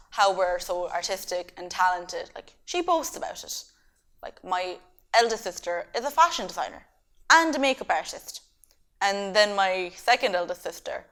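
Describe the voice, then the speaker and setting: A woman's voice: medium pitch, fairly bright tone. Young girl yapping about her family, jokey, says like a lot. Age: young adult. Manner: chatty, joking, lots of "like". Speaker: youth theatre member. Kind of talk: casual personal chat.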